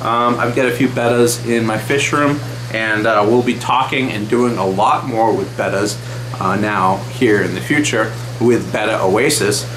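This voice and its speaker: A man's voice: fairly low pitch, fairly even intonation, a little slow, roomy sound, noisy background. A man in his thirties hosting an aquarium vlog, chatting casually and enthusiastically with frequent fillers, recorded in a small wood-paneled room close to the camera. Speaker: aquarium channel host.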